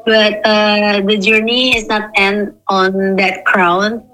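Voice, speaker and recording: A hoarse young woman from eastern Indonesia, a radio interview guest who mixes in English phrases. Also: mid-range voice, level tone, extremely slow, bright, forceful tone, poor audio.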